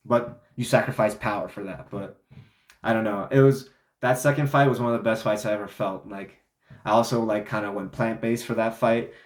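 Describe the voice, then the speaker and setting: A man's voice: on the low side, steady tone, a little fast, echoey room. A young man telling a story in a casual, rambling way, full of fillers and restarts, sounding congested. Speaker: vlogger.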